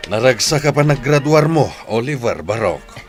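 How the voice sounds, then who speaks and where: A man's voice: mid-range voice, expressive, moderate pace, soft background music, close to an everyday voice. A man with an Ilocano accent playing a kindly, supportive older uncle in a radio drama. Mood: proud. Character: kindly, supportive older uncle raising his nephew and niece.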